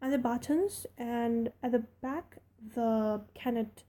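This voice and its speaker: A woman's voice: on the high side, slow pace, mellow. A woman voicing a plain-spoken young Yorkshire housemaid with broad dialect, cheerful and frank. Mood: soothing.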